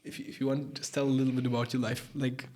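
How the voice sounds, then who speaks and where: A man's voice: medium pitch, flat delivery, quick pace, darkish tone, slight background noise. A man hosting a podcast, interviewing in a casual, conversational way with informal, tentative phrasing. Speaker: podcast host.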